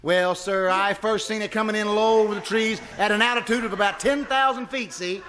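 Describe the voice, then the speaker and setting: A man's voice: high voice, steady tone, fairly quick, fairly bright tone. A man playing a boastful, folksy small-town husband who blusters and interrupts in a live radio comedy. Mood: folksy, chatty. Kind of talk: live old-time radio comedy acting. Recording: noisy live stage performance.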